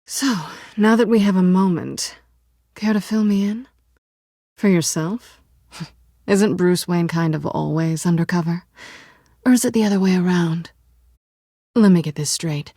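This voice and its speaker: A woman's voice: on the low side, unhurried, long pauses, warm tone, no echo, studio quality. A woman voicing a sly, self-assured cat burglar in a video game, with a sultry, wry, coolly teasing way of talking. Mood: wry, probing. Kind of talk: video game voice acting, dramatic dialogue.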